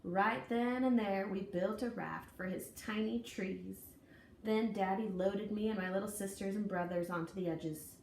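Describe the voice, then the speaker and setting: A woman reading a children's story aloud in an expressive, folksy, deliberate way with dramatic emphasis. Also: medium pitch, gravelly. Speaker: storybook reader. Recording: echoey room, distant mic, faint noise.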